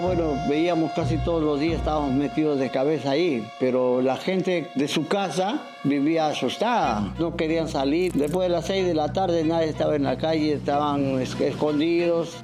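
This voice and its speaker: A Peruvian man in his sixties tells his own story as interview testimony. His voice sits a little high for a man, and music plays clearly behind him as he speaks in plain, short phrases. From Peru. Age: 60s. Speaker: interviewee, veteran TV news cameraman.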